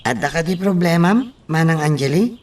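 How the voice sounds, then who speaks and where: A woman's voice: fairly low pitch, a little fast, natural voice. A woman acting a naive, timid young housemate in an Ilocano radio drama, hesitant and questioning. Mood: surprised. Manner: questioning, hesitant, surprised interjections. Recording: slightly distant mic, faint background noise.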